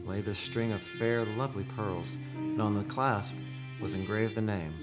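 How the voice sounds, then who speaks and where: A man's voice: fairly low pitch, fairly even intonation, moderate pace, lightly acted. A man playing a tormented, lovesick romantic in a radio play, declaiming poetically in a theatrical, anguished manner. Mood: anguished wonder. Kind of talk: old-time radio play acting. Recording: prominent music under the voice.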